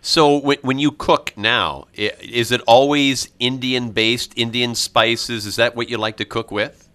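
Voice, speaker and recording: Bright-toned, halting male radio host. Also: mid-range voice, fairly even intonation. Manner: halting, frequent "uh" and stammered restarts. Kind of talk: morning radio interview.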